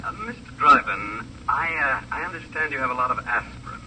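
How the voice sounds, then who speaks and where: An actor playing a polite, businesslike drug company dealer in a 1950 radio crime drama, formal and courteous. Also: male voice, mid-range voice, fairly quick, pushed and bright. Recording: hissy old radio transcription, distant.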